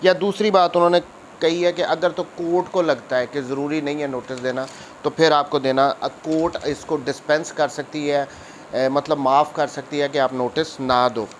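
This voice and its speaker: Pakistani man slowly reading out legal text. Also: on the high side, steady tone, a little hiss or hum. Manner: reads the statute slowly and deliberately, then explains it briskly. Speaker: advocate explaining law. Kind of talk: lecture on legal statute.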